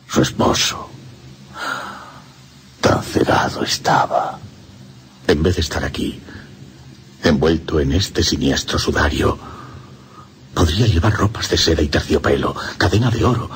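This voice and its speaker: A man's voice: extremely low pitch, slight background noise. A man with a Castilian Spanish accent playing a lovesick, tormented young priest, confessing in an anguished radio drama monologue. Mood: yearning, bitter.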